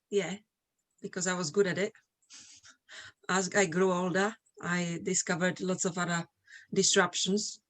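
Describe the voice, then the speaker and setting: A middle-aged woman from Slovakia speaking English with a Slovak accent, chatting as an interview guest about her own life. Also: on the low side. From Slovakia, Eastern Europe. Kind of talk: casual conversational interview, personal recollections.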